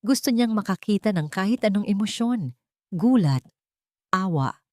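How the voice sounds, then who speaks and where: A woman's voice: medium pitch, animated, measured pace, a little mellow, dry recording, clean studio sound. A woman narrating a scripted romantic drama in an emotive storytelling manner.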